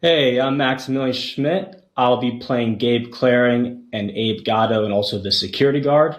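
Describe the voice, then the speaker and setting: A man's voice: medium pitch, normal speed. A man from Virginia with a mid-range voice introduces himself casually as an actor. The recording is noticeably echoey. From Virginia, United States. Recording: echoey, roomy sound.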